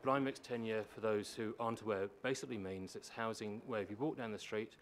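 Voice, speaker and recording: Male presenter, low register, explanatory and emphatic, addressing a formal meeting, faint room noise. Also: steady tone, brisk. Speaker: housing organisation manager presenting to a council. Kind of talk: prepared public submission speech. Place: council meeting chamber.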